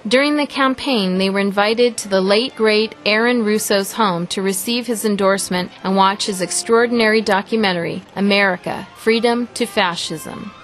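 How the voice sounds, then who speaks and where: A woman reading a scripted promotional introduction in a measured, formal way. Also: medium pitch. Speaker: narrator. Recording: music bed underneath.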